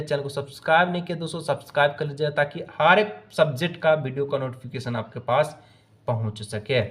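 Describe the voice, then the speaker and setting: A man teaching exam questions to students, repeating himself as he asks and answers, with English phrases mixed in. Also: fairly high pitch. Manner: repetitive, asks each question then answers it; mixes English phrases into speech. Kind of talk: quiz-style lesson narration addressed to students.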